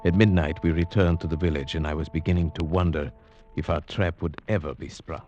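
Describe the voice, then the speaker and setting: Deep-voiced haunted hunter, music behind. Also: male voice, steady tone, darkish tone, muffled recording. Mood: brooding suspense. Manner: measured, suspenseful, formal storytelling. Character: uneasy male hunter recounting a tale of dread. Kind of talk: old-time radio horror drama narration and acting. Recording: vintage radio recording with dramatic music.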